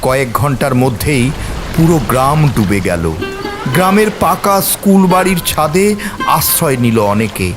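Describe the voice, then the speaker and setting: A man narrating a scripted story in a theatrical, suspenseful way, with music and storm ambience behind. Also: fairly high pitch.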